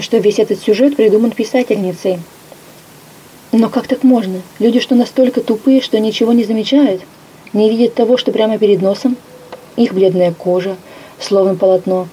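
A woman narrating a first-person story with a lively, expressive reading. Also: medium pitch, moderate pace, slight background noise, rough recording. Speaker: audiobook narrator.

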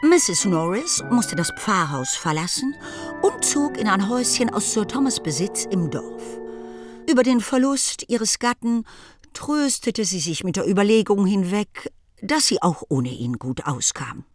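A woman's voice: mid-range voice, very animated, unhurried, music in the background. A woman narrating a period novel for a radio play in a scripted, literary storytelling style.

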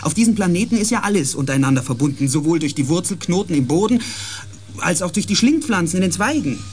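A man's voice: high-pitched, animated, fast talker. A man playing a thoughtful, inquisitive scientist on a space expedition in a radio drama, reasoning aloud with emphasis. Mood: musing, eager.

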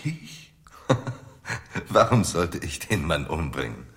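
Crime drama suspect: scornful secret lover, low slow voice, dismissive. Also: male voice, sing-song, a bit bright, full character voice. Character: cornered male press agent, dismissive secret lover. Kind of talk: radio crime drama acting.

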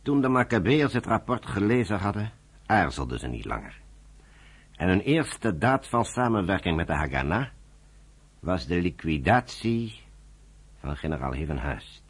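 A man's voice: deep voice, sing-song, normal speed, slightly rough. A man reading scripted narration for a Dutch radio play.

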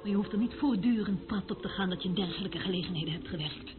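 An actress in a radio play playing a stern, secretive senior worker who talks curtly and disapprovingly, like a schoolmistress. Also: female voice, medium pitch, fast, background noise, natural voice. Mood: pedantic, cold. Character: stern, fault-finding senior woman worker, secretive and bossy. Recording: tinny narrow-band old radio play recording. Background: loud music.

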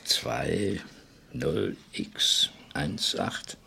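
An actor playing a shrewd, pondering police inspector in a German radio crime drama, halting and husky as he probes. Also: rumbling low, bright, forceful tone, heavily acted. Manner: pondering, halting, repeats himself. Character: probing, hesitant, shrewd male police inspector.

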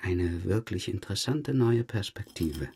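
An actor playing a dogged police detective questioning a suspect in a German crime audio drama, matter-of-fact and dryly pointed. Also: male voice, sits low, animated, a little slow, creaky, a little mellow, breathy edge, exaggerated voice. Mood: controlled, pointed. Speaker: police investigator.

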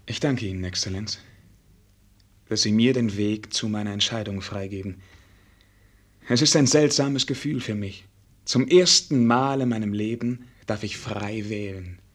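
Grüblerischer Prinz mit belegter Stimme. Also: male voice, lowish voice, a little slow. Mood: pensive. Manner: reflective, rhetorical questioning, stiffly formal. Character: brooding, self-doubting prince, earnest and formal. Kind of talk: German radio play acting.

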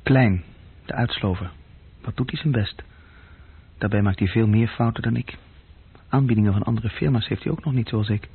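A man playing a young, self-critical office group leader musing drily in a radio play, with a husky voice. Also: lowish voice, moderate pace, exaggerated voice. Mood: sardonic, weary. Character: young male bookkeeping group leader, self-critical, sardonic, restless dreamer. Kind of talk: radio play inner monologue. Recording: muffled phone-like old radio recording.

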